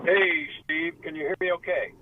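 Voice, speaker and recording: A man's voice: fairly high pitch, fairly even intonation, normal speed, noisy background. A man in his late sixties calling in by phone from a pulled-over car, talking in a rambling, earnest and candid way. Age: 60s. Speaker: caller. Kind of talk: call-in radio chat. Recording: dry tinny phone line from a car.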